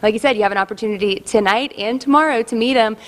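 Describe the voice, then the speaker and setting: A woman working as a TV news field reporter, interviewing a guest live at a festival in a friendly, brisk manner. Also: fairly high pitch, fairly bright tone. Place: outdoor festival venue.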